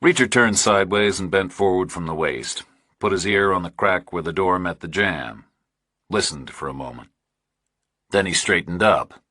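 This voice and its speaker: A man reads scripted thriller fiction in a very low, husky voice. His delivery is measured and precise, each detail set out deliberately. The recording is dry and close, with no room sound. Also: expressive, sharp tone, no echo. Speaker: audiobook narrator.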